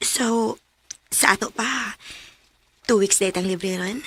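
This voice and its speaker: An actress playing a watchful woman in a strained romance in a radio drama, breathy and husky, with a Cebuano accent. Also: mid-range voice, takes it slowly, dry recording. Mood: restrained.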